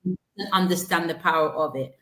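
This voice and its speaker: A woman business owner answering interview questions frankly, in long steady runs with restarts. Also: lowish voice, a little fast. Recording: roomy echo.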